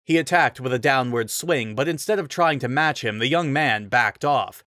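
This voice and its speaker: A man's voice: mid-range voice, moderate pace, fairly bright tone, no room sound, studio quality. A man giving a dramatic reading of a fantasy story as narrator.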